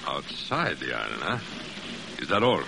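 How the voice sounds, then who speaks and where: An actor playing a confident, gloating submarine captain in an old radio drama. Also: male voice, a bass voice, very animated, normal speed, gravelly, exaggerated voice. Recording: noisy old radio broadcast recording.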